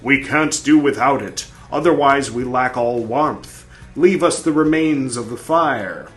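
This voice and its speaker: A man reading as an old male prophet who pleads for the dead in a measured, lamenting way. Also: medium pitch, fairly bright tone, faint music behind, lightly acted. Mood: pleading.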